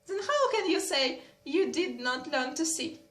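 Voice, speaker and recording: A woman reading as a lively young noblewoman who teases and exclaims. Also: sits high, measured pace. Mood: teasing. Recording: roomy echo.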